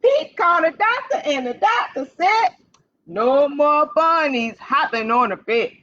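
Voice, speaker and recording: Lady doing kids storytime, really bright sing-song voice, talks right to the children, kinda muffled lo-fi stream audio. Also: high voice, animated, a little slow, a little hiss or hum. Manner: sing-song, addresses children directly with repeated questions. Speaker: library storytime host for children. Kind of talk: animated read-aloud for young children. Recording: dull, lo-fi livestream sound.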